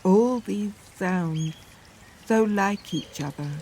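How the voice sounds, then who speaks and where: Woman talking slow n thoughtful, halting, poetic doc feel, bit of outdoor noise behind. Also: medium pitch, darkish tone. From northern England. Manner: contemplative, halting, with pauses. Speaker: poet in an audio documentary. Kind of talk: reflective, unscripted documentary talk with poetic passages.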